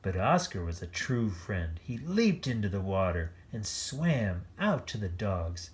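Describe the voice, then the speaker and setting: A children's picture-book storyteller reads slowly and theatrically. The pitch rises and falls widely, and playful asides break up the narration. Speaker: read-aloud storyteller.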